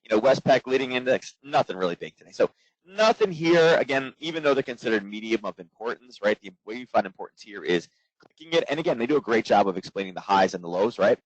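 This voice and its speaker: A man in his forties, hoarse from coaching, giving a trading webinar in a quick, casual way full of fillers. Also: medium pitch, expressive, no room sound. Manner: quick, filler-heavy, conversational. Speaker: trading instructor. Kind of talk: live webinar presentation. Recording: dull, dry lo-fi webinar audio.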